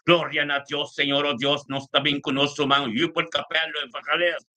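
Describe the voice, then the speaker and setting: Male preacher, fairly high and very sharp, forceful voice. Fervent prayer leader with repeated exclamatory invocations. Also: little pitch movement, normal speed. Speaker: preacher leading live online prayer.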